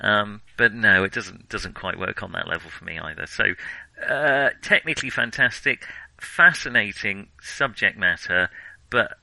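A man's voice: on the low side, normal speed, creaky, pushed and bright, no room sound, low-quality recording. A man co-hosting a casual film discussion, hesitant as he thinks his way aloud through his opinions. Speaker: film podcast co-host.

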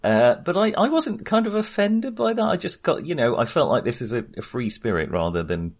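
A husky-voiced man co-hosting a casual film discussion in a hesitant, tentative manner. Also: medium pitch, very animated, a little fast. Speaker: film podcast co-host.

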